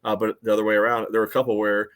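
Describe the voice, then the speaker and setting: Lower-voiced American man, casual analysis. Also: monotone, racing, a bit bright, clean tone. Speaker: sports podcast co-host. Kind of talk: casual soccer match analysis.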